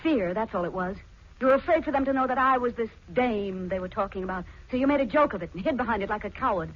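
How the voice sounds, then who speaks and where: A woman's voice: on the high side, expressive, quick pace. An actress playing an earnest, defiant and dignified married woman in a 1940s radio drama. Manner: earnest, emotional. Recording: dull 1940s radio recording.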